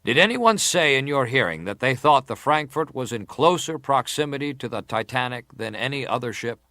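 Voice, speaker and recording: An actor playing a formal, persistent senator who questions a witness deliberately in a dramatised hearing. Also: male voice, medium pitch, animated, sharp tone, no echo, clean studio sound. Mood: probing. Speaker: interrogator at a hearing. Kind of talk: dramatised reading of hearing testimony.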